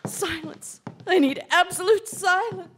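Domineering wife, high-pitched, haughty, scolding, melodramatic, live radio play. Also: female voice, measured pace, a bit bright, a little hiss or hum. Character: domineering, self-absorbed wife and overwrought romance writer. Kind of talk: live radio drama acting.

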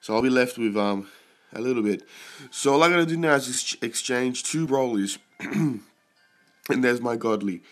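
A young man who is sick and keeps clearing his throat, casually commenting on his mobile game pulls and getting excited and swearing at good results. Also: mid-range voice, moderate pace, faint music behind.

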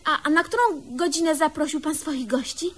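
A woman's voice: sits high, a little fast, a bit bright. An actress playing a doting, lovestruck older woman in a comedic radio play, gushing and coaxing in a motherly way. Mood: adoring.

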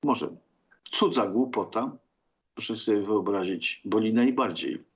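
A man in his sixties hosting a political talk show, commenting sarcastically with theatrical, halting delivery. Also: on the low side, highs cut off. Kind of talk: sardonic political commentary to viewers. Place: book-lined room at home.

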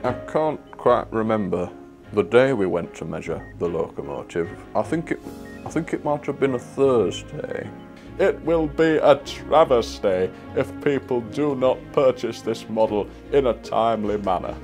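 Awkward, pompous-sounding train company spokesman played by a man, gravelly, halting, for a spoof promo. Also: medium pitch, expressive, music in the background. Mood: solemn, halting. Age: young adult. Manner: halting, stilted, overly formal. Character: awkward, solemn male model train company spokesman in mockumentary. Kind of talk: mock promotional interview. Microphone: lapel mic.

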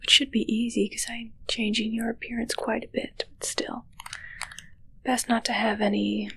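A young woman playing a self-assured, darkly matter-of-fact witch in a hushed, musing ASMR roleplay, close to the mic. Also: fairly high pitch, little pitch movement, gravelly, breathy edge, heavily acted, poor audio. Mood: self-assured, confiding. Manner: slow, musing, hushed, close personal attention. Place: small dark curtained indoor set.

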